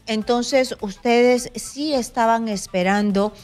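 Woman, middle-aged, news anchor, studio desk mic, brisk, faint music behind. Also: fairly high pitch, a little mellow. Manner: brisk, direct questioning. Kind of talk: news interview.

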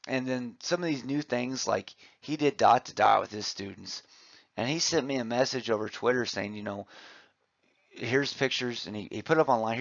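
A man's voice: medium pitch, vocal fry, no echo. A man, a physical education teacher, giving an informal, conversational presentation with enthusiasm, recorded slightly muffled. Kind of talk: informal conference presentation over slides. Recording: slightly muffled, dull audio.

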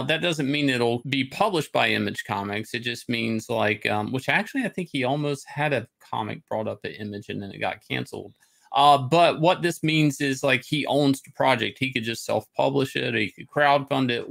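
Man, middle-aged, low-key gravel and fry in the voice, rambling opinionated host commentary. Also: a bit bright. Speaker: host of a comics talk show. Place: indoor room, on a video call.

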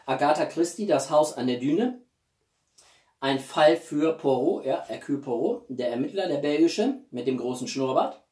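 A man in his forties chatting casually as a video blogger, with halting speech and enthusiastic asides. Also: fairly high pitch, expressive, a little mellow. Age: 40s. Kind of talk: casual chatty vlog presenting gifts. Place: small living room, indoors. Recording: roomy echo, distant microphone.

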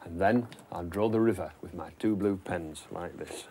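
A man's voice: deep voice, normal speed, warm tone, a little hiss or hum. A middle-aged man presenting for young children, thinking aloud and addressing viewers simply as he tells stories. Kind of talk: gentle scripted chat to young children. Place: wood-panelled narrowboat cabin.

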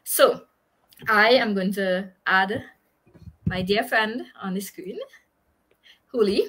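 Woman on a headset in a little room, bright forceful voice, cheerful host vibe, welcoming guests live. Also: medium pitch, takes it slowly. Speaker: host, business mentor. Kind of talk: live video-call interview. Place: small indoor room.

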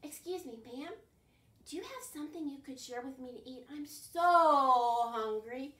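A woman's voice: high voice, lively intonation, a little slow, pushed and bright, a little hiss or hum, exaggerated voice. A woman voicing a polite, hungry, resourceful boy in a children's folk tale, eager and coaxing. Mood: pleading. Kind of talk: children's storybook telling. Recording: roomy, distant camera microphone.

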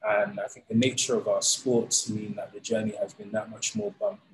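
Relaxed man, low voice. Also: a little fast, gravelly, a crowd in the background, poor audio. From England. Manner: relaxed, animated storytelling with fillers. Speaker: founder of a fighting league, guest. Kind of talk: casual interview. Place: indoors, plain room. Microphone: studio mic on arm, video call.

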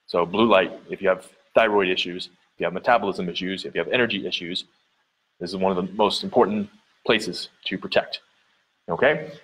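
Earnest lower-voiced man touring his gym. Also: fairly quick, a bit bright, breathy edge, poor audio. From Central Ohio, US. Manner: earnest, repetitive explaining with many fillers. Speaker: gym owner and trainer. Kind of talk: casual walkthrough vlog. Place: large dim indoor gym studio. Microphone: handheld camera mic at arm's length.

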